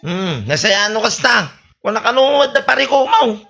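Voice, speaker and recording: A wheedling, boastful village drunk with an Ilocano accent in a radio comedy. The voice is high and gravelly, with a bright edge. It slurs and rambles through muttered interjections. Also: male voice, sing-song, normal speed, highs cut off, exaggerated voice. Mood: boastful, belligerent.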